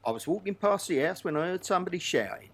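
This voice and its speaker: A man's voice: high-pitched, expressive, fast. A man playing an ordinary, glib witness giving his account in an audio drama. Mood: explaining. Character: ordinary male murder witness, glib, secretly guilty.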